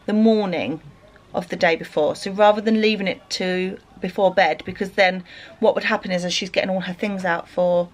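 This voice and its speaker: A woman in her thirties with an English accent, talking in a chatty, explanatory way in a casual family vlog. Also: fairly low pitch. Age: 30s. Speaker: family vlogger, mother. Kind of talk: casual vlog chat to camera. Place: rooms in a family home. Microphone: camera at arm's length or nearby.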